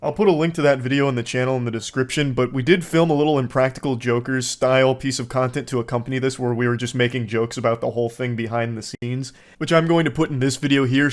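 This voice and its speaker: A man talks through casual commentary with a dry, sardonic edge, swearing as he goes. His pitch sits mid-range and his delivery is loose. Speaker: YouTuber host.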